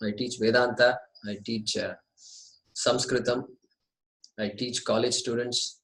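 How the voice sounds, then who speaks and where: Brief: Indian man in his fifties, courteous teacher on a video call, mid pitch, roomy echo. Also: flat delivery, a little slow, a bit bright. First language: Tamil. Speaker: Sanskrit teacher. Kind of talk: online class orientation talk. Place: his apartment. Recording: echoey room over video call.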